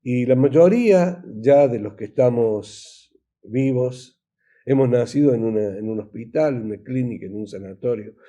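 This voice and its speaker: A man with an Argentine Spanish accent preaching an informal sermon as a pastor, halting and repeating himself, often posing rhetorical questions. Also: mid-range voice, dark tone, highs cut off.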